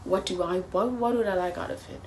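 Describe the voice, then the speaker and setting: A woman's voice: lowish voice, fairly quick, a little mellow, a little hiss or hum. A woman in her early twenties talking through her thoughts in a personal vlog, earnest and musing, often dropping to a near-whisper. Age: 20s. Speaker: vlogger.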